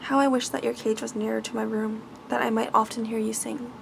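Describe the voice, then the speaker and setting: A woman's voice: fairly high pitch, little pitch movement, brisk, creaky, noisy background. A woman voicing a sweet, polite young storybook heroine with an earnest, girlish manner. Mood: delighted. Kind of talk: bedtime storybook reading.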